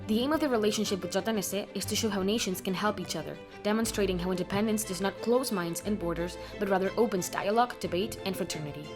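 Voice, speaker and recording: Woman, brisk scripted narration, music behind. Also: medium pitch. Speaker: narrator and interviewer. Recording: music underneath.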